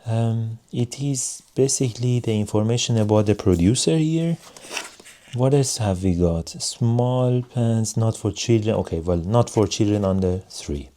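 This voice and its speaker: A man giving a casual hands-on product review in measured, non-native English phrasing. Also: lowish voice, warm tone. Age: adult.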